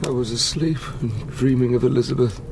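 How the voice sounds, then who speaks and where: A man plays a young scientist in an audio drama. His voice sits at a middle pitch, anguished and defensive, quick to accuse. There is faint sound behind him. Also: fairly even intonation, vocal fry, a little mellow, slight background noise, close to an everyday voice. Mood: troubled.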